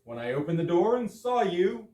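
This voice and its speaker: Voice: medium pitch, sing-song, moderate pace, warm tone, lightly acted. An amateur performer in a stage reading, playing an assertive, argumentative spouse with emphatic delivery. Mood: questioning. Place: echoey room at a live readers theater. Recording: echoey room, distant microphone.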